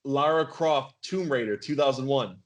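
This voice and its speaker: A man with a medium-pitched voice hosts a podcast game. He speaks casually, in the manner of an informal quizmaster. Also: moderate pace. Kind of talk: casual quiz hosting.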